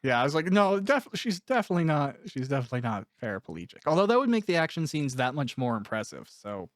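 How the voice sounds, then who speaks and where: A man's voice: a little high, big pitch swings, quick pace, no echo, clean studio sound. A man chatting casually as an interviewer, rambling, blunt and self-deprecating. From Canada. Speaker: interviewer and author.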